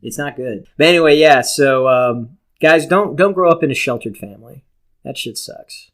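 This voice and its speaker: A man's voice: a little high, normal speed, a bit bright. A man co-hosting a casual comedic talk show, bantering in a crude, boisterous, profanity-laden way.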